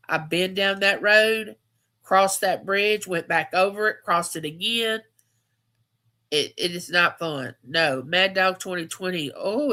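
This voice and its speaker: A woman's voice: fairly low pitch, pushed and bright. A woman, hosting a casual live chat and telling stories, repeating phrases for emphasis. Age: 50s. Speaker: livestream host.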